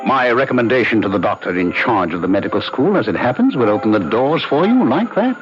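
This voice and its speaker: Roguish young student, voiced by a man, very animated, hearty, sly, radio play, lo-fi, music behind. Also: medium pitch, fast talker, close to an everyday voice. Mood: teasing, bargaining. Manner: teasing, hearty, theatrical, sly. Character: roguish, charismatic young medical student, worldly and manipulative. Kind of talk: old-time radio drama acting.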